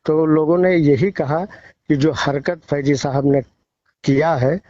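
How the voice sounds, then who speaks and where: Male reporter, slightly high voice, preachy and emphatic, reporting over a dry, lo-fi call. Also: steady tone, measured pace, warm tone. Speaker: field reporter giving a report by phone. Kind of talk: news phone interview, opinionated reporting. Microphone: phone call. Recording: muffled, echo-free low-fidelity phone call recording.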